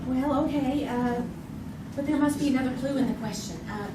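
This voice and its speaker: A high-voiced woman thinks aloud as a quiz contestant, chatting familiarly with her teammate. She is picked up from a distance, with clear noise behind her. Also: fairly quick. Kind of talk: live quiz banter. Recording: echoey, noisy hall, off mic.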